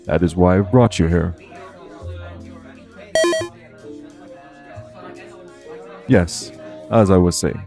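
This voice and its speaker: A man playing a calm, protective guardian angel in an audio roleplay, speaking in a formal, measured, earnest way. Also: deep voice. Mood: polite, reassuring. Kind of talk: audio roleplay acting, addressed to the listener.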